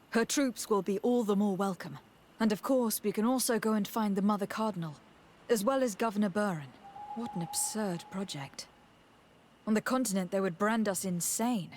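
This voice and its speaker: An actress playing a resolute young noblewoman diplomat in a video game, speaking in a measured, earnest and formal way. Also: mid-range voice, darkish tone, close to an everyday voice. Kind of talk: video game cutscene acting.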